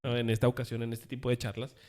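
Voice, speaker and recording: A man's voice: medium pitch, little pitch movement, quick pace, a little mellow. A man with a Mexican Spanish accent, co-hosting a casual podcast chat among friends, joking and laughing often. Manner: jokey, laughs often, uses many fillers. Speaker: podcast co-host.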